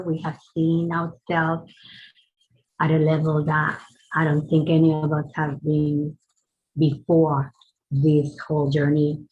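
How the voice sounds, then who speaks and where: A woman with a husky, low voice speaks slowly and warmly about what a shared experience has meant to her. The call audio is muffled and lo-fi. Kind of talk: group reflection on a video call.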